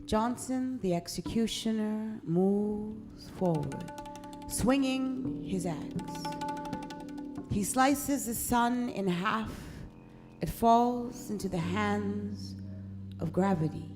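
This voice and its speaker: A woman narrates a scripted literary story with deliberate phrasing. She takes her time, at an unhurried pace and middling pitch, while instrumental music plays clearly behind her.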